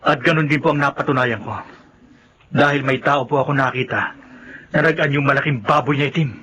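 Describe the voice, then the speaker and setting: Dutiful son, earnest, knowing, medium pitch, crisp bright tone, radio horror drama. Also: male voice, fairly even intonation. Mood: grave, earnest. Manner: earnest, deliberate explaining.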